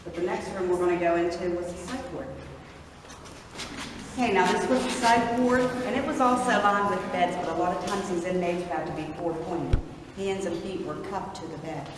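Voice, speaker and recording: A middle-aged woman with an Appalachian accent, leading a prison tour and telling stories loudly to her group. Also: fairly low pitch, moderate pace. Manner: projecting loudly to a group, storytelling. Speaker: tour guide. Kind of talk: guided tour talk to a group. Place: large empty rooms of an old prison at night. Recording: echoey rooms, distant and noisy.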